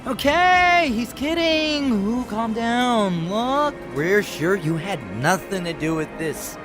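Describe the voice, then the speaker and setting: A man's voice: high-pitched, animated, slow, music in the background. An actor playing a skinny male vampire sidekick, a nervous and placating peacemaker, in an audio drama. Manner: placating, jittery.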